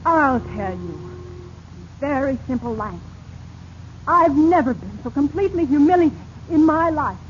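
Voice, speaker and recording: A haughty, grasping wife seethes in a vintage radio melodrama. Her high voice swings widely with scorn, on a muffled, faintly noisy recording. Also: animated, unhurried, pauses often. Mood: indignant, scornful. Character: proud, greedy, indignant wife. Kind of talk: 1940s radio acting.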